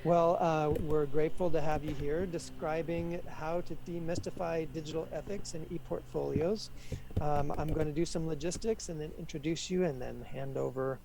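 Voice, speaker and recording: A man's voice: on the high side, steady tone, normal speed, gravelly, warm tone, a little hiss or hum, low-quality recording. A man moderating an online conference session over a video call, welcoming the audience and running logistics with frequent fillers.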